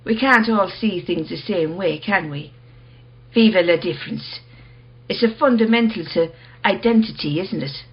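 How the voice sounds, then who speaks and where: A low-voiced, husky woman in a radio drama, earnest and quietly challenging. She puts her questions in a measured, persistent way. The audio is a little dull. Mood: earnest, probing. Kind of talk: radio play acting.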